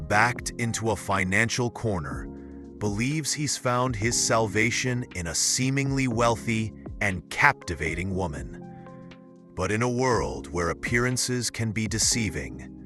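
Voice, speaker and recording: A man with a lowish voice introduces a story very slowly, over music. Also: animated. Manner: slow, dramatic introductions. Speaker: podcast host. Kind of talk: scripted narration.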